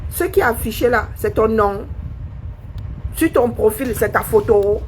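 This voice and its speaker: A woman holding forth on a live stream, scornful and confrontational, peppering a critic with rhetorical questions. Her voice sits somewhat high and leaps around expressively. A faint hum of background noise runs under the recording. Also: lively intonation, unhurried.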